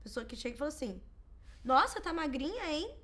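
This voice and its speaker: A Brazilian woman in her thirties chats casually as a podcast co-host. Her voice sits a little high, and her storytelling is animated, with quoted lines acted out. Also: brisk. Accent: Brazilian Portuguese. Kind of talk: casual chat.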